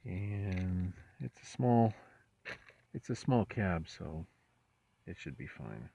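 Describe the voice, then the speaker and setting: A man's voice: sits low, big pitch swings, slow pace, gravelly, warm tone, breathy edge, no echo. A man giving a casual hands-on installation walkthrough, muttering through it unscripted in short phrases, in a dull, muffled recording. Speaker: host of a truck build vlog. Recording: dull muffled sound with background noise.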